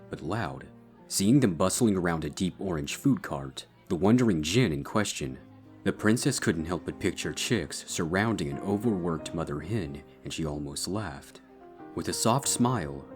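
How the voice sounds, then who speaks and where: A man reading a scripted story as its narrator. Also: low voice. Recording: music bed behind the voice. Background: soft instrumental guitar music.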